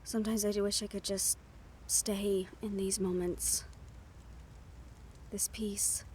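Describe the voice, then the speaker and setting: A woman playing a gentle, teasing but worried young siren in an audio roleplay, speaking softly and intimately to the listener. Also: mid-range voice, little pitch movement, slow, slight background noise, natural voice. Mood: calm wistful.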